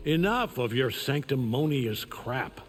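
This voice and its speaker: An actor playing an arrogant, menacing, godlike conqueror in a video game, with a contemptuous, commanding delivery. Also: male voice, mid-range voice, big pitch swings, measured pace. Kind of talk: video game character acting.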